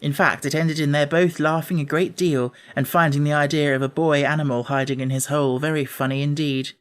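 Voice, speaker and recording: A man's voice: on the high side, level tone, fairly quick, smooth. A man narrating a children's novel as a scripted audiobook reading.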